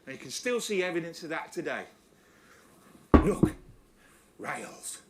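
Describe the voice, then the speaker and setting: A man's voice: fairly high pitch, big pitch swings, measured pace, heavy background noise. A man presenting a documentary on location, explaining with emphatic enthusiasm.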